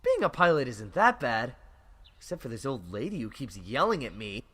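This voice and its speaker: A man voicing a cocky, delusional young mech pilot in a parody anime dub, brash and excitable. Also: mid-range voice, moderate pace, close to an everyday voice. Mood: exasperated.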